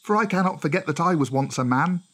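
A man's voice: fairly high pitch, lively intonation, fast, a bit bright, pitched above the performer's own voice. A man voicing a bold, courteous jungle-raised boy in a storybook, with a direct, spirited manner. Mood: eager. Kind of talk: storybook character voice.

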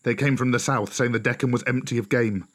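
A man's voice: medium pitch, steady tone, a little fast, fairly bright tone, close to an everyday voice. A man voicing a weary, wounded lone wolf, grim and vengeful, in a children's storybook.

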